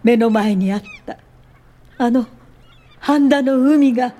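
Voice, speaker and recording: A woman's voice: a little high, takes it slowly, mellow. A woman acting in a Japanese radio drama as a composed, earnest and determined welfare pioneer, speaking articulately. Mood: reflective.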